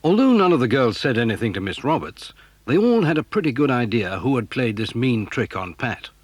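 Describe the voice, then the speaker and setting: A man's voice: medium pitch, big pitch swings, fairly quick, breathy edge, no room sound. A man with an English accent reading scripted storybook narration for a children's audio drama.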